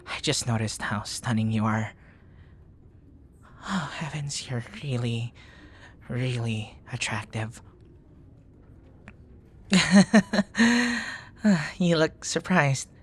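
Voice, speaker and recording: A man playing a bashful, bookish young nerd in an intimate ASMR boyfriend roleplay, speaking softly and breathily in flowery, formal phrases, flustered and hesitant, often slipping toward a whisper. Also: medium pitch. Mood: flustered, apologetic.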